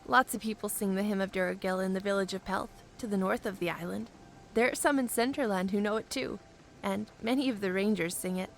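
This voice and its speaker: A woman's voice: mid-range voice, brisk, creaky, soft background music, natural voice, poor audio. A woman playing an earnest, wistful young airship pilot in a fantasy audio drama. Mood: wistful, confiding.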